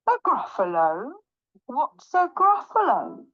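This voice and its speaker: A woman voicing a sly, sweet-talking but cowardly male snake in a children's storybook, with drawn-out exclamations. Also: medium pitch, sing-song, lo-fi sound. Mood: coaxing, curious. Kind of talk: children's storybook read aloud.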